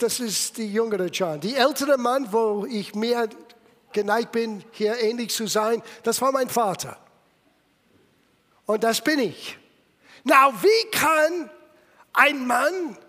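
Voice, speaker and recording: A man in his sixties with an American accent, preaching a sermon in non-native German with English slips, swinging from loud bursts to hushed lines. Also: extremely high pitch. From United States. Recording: long hall reverb.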